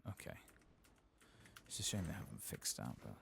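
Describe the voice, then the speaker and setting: A soft-spoken man murmuring unhurried ASMR commentary to himself as he plays through a video game. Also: extremely low pitch, sing-song, gravelly. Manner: murmured, unhurried, talking to himself while playing. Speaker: gaming channel host. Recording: faint background noise.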